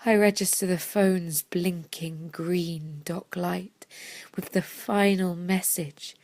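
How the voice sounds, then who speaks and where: A woman reads a poetic monologue with theatrical deliberation. Her delivery is slow and broken into short phrases, with a strong creak in a medium-pitched voice. The sound is dry. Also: steady tone, darkish tone, no echo. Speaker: reader of a theatre piece. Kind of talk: dramatic poetic monologue reading.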